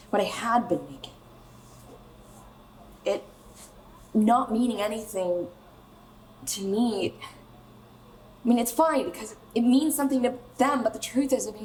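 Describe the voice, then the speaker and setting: Actress as bitter, wounded young American pop star. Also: female voice, a little high, darkish tone, slight background noise, lightly acted, poor audio. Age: young adult. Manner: halting, emotional, profane. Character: bitter, wounded 27-year-old female pop star, sharp and confrontational. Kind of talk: naturalistic radio play acting.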